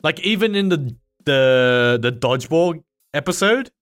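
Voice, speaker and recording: Teasing lively male podcast host. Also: measured pace, soft background music. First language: not Chinese. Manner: teasing, laughs often, lively swings in pitch. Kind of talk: casual chat.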